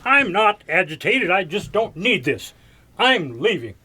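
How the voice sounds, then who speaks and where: A man playing a touchy, defensive radio programmer in an audio drama, answering curtly with a rough edge to his voice. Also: high voice, very animated, unhurried, sharp tone, slight background noise, heavily acted. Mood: defensive, irritated. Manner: curt, evasive, prickly. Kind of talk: audio theater mystery acting.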